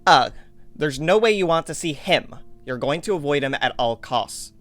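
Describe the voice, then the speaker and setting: A man's voice: a little high, animated, moderate pace, fairly bright tone, dry recording, faint music behind. A man narrating a game-like adventure story in scripted second person for an amateur fandub. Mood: measured storytelling.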